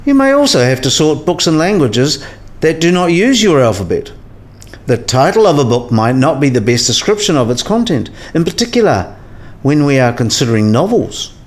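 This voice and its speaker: Lively, teasing, pedantic male academic an actor's role. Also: on the high side, moderate pace. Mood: lecturing. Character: teasing, learned male university colleague, mock-formal wit. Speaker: academic character in a radio play. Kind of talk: scripted radio play dialogue.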